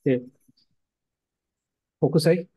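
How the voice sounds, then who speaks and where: A man's voice: takes it slowly, warm tone. A man taking part in a quiz as a contestant, chatting and guessing casually. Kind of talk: casual spontaneous answers and chat.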